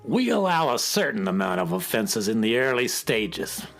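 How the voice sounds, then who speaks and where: A stern, self-important inspector of the music police, performed by a man for a children's radio play. He pronounces rules severely and officiously, with a highly animated pitch. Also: moderate pace, creaky, exaggerated voice. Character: pompous, stern male music-police inspector from another planet.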